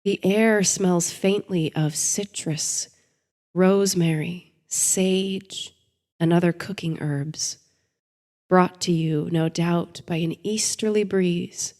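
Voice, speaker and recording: A woman host narrating a bedtime story and guided meditation in a soothing, gentle, measured way. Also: fairly low pitch, fairly even intonation.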